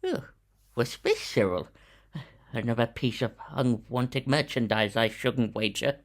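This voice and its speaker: An actor voices an eccentric, reclusive male tycoon for an audio story. He is fussy and musing, with a husky edge. His delivery is slow and swings widely in pitch. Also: big pitch swings, full character voice. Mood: musing, fond. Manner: musing, talking fondly to a pet cat. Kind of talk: audio drama character acting.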